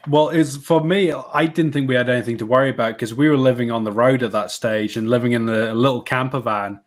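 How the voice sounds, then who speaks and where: A man's voice: mid-range voice, steady tone, a little fast. Easygoing man from Wales. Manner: easygoing, self-deprecating, laughs while telling stories. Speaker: podcast guest. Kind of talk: conversational interview answers.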